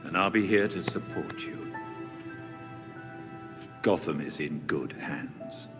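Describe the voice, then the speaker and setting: An elderly butler, loyal and caring, for a game cutscene. His voice is very low and his manner formal and measured. It rings in a long reverb with music behind it. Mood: gentle, subdued. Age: older. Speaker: butler and mentor. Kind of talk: video game cutscene acting.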